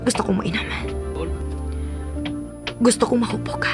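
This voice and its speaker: A woman's voice: on the high side, steady tone, unhurried, over music, lightly acted. A Filipino actress playing a bitter, sarcastic, lovelorn woman in a radio drama, drawing out her lines with scorn. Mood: bitter, mocking. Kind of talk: Philippine radio drama acting.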